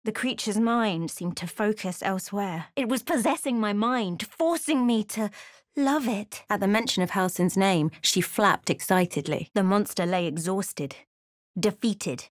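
A woman voicing a wry, intense female adventurer in a fantasy video game, telling her encounters in measured, dramatic storytelling. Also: mid-range voice, dry recording, studio quality, lightly acted. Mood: grave narration. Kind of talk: scripted fantasy game narration and character lines.

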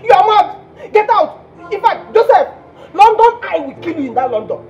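A woman's voice: high-pitched, sing-song, slow pace, a little mellow, faint music behind, exaggerated voice. An actress with a Nigerian accent playing a hot-tempered, theatrical jilted girlfriend in a phone-call drama. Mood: furious, cursing. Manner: theatrical, exclamatory, repetitive. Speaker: actor in a comic relationship drama.

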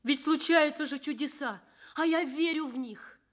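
Actress as a high-voiced, tender young nurse. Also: female voice, moderate pace, lightly acted. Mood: earnest. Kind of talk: Soviet radio drama acting.

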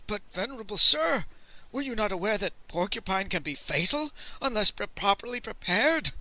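A man puts on a fat, greedy storybook merchant, fretful and fawning. He stammers breathlessly in a very high voice, leaping about in pitch. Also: expressive, moderate pace, bright, forceful tone, exaggerated voice, poor audio. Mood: shocked. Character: fat gluttonous merchant, anxious, obsequious, easily horrified.

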